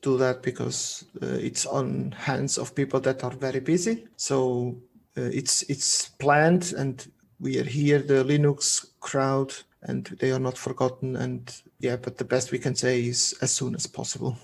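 A man's voice: medium pitch, creaky. A Portuguese man with a Swiss German accent, a guest on a podcast, talking casually and restarting his phrases often. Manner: halting, restarts phrases, relaxed.

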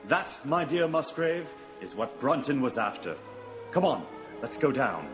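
With music clearly behind him, a man plays a confident gentleman sleuth. He reasons aloud in clipped, analytical phrases with lively swings of pitch, as in a classic radio mystery. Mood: assured. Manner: deliberate, analytical, clipped remarks. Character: shrewd, self-assured male gentleman detective. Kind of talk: radio mystery drama acting.

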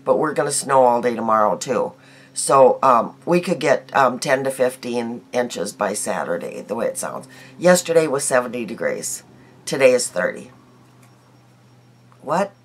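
Hoarse middle-aged woman chatting about makeup. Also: rumbling low. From United States. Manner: chatty, homey, laughs easily, trails off. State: hoarse, losing her voice from a cold. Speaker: beauty vlogger. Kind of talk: casual daily makeup chat to camera. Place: small bedroom indoors.

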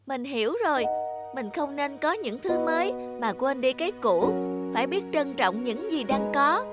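Cartoon young boy: high, emotive, fretful childlike delivery over a music bed. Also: expressive, moderate pace. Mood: earnest. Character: young boy, whiny, fickle, then remorseful. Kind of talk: cartoon dubbing. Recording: music behind the voice.

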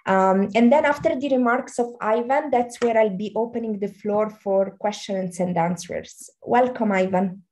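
A young woman moderating an online launch event over a video call from a room at home, speaking in a measured way with fillers. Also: medium pitch, a little mellow, slight background noise. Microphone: laptop on a video call.

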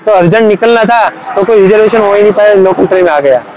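A young man from Gujarat, a cricket fan, talking eagerly and haltingly in a casual outdoor street interview. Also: high voice, darkish tone, slight background noise, low-quality recording. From Gujarat, India. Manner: eager, halting, with many restarts.

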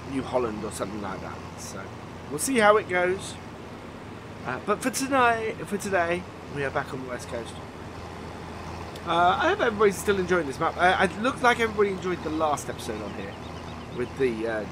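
A man with a slightly nasal voice from a cold coming on, casually commentating a farming game and thinking aloud with many fillers. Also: on the high side. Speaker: let's play host. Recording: voice-over with clear game vehicle noise.

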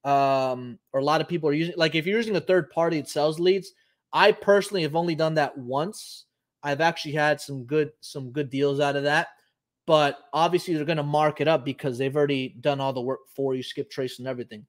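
A man's voice: a little high, steady tone, a little fast. A man in his thirties from Puerto Rico, hosting a live show and explaining casually while thinking aloud with frequent ums. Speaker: host. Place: home office, indoors.

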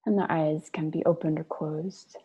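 A woman leading a guided meditation, speaking and reading aloud in a gentle, measured way with long pauses between short phrases. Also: low voice, steady tone, breathy edge. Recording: dull lo-fi online call audio.

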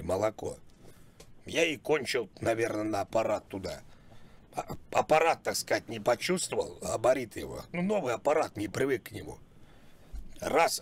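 A man acts out a shameless older male customer in a prank call. The voice is low and husky. He rambles, repeats himself and keeps up a mock-apologetic, oversharing manner. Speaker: prank caller. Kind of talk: prank phone call, improvised.

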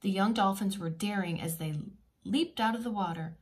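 A woman preschool teacher reading a children's picture book aloud in an expressive, teacherly way with simple asides. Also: medium pitch, moderate pace.

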